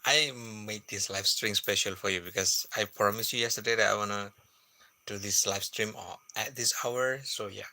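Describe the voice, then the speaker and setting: A soft-spoken man, chatting with viewers on a late-night phone livestream. His voice sits low with plenty of gravelly fry, yet sounds very bright and sharp. He talks unhurriedly and stops often to hunt for the right word. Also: steady tone, normal speed. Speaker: live streamer.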